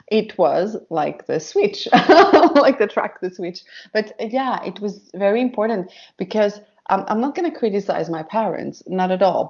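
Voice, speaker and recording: A woman's voice: medium pitch, darkish tone, highs cut off. A woman singer chatting in an interview, speaking English as a second language, hesitant and searching for words. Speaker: synth pop singer, guest. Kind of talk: casual interview chat.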